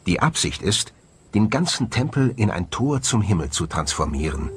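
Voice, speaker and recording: A man delivering scripted documentary narration in a measured, dramatic way, as the presenter of the film. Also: fairly low pitch, big pitch swings, slight background noise.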